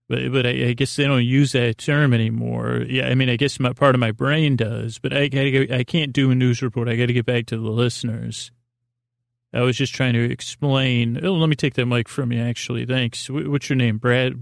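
A male podcast host improvises a silly bedtime monologue in a voice full of creak and fry. He wanders into tangents, repeats himself and talks as if to an imagined listener. The sound is very dry, with no room around the voice. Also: mid-range voice, dry recording. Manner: meandering, repetitive, trails off into tangents.

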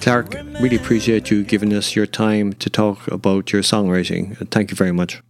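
A man's voice: on the low side, little pitch movement, moderate pace, over music. A man hosting a podcast, talking in a conversational discussion style. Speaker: podcast host.

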